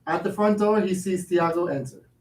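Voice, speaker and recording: A man's voice: sits high, steady tone, normal speed. A man reading stage directions as scripted narration for a play. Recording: echoey room, distant, background noise.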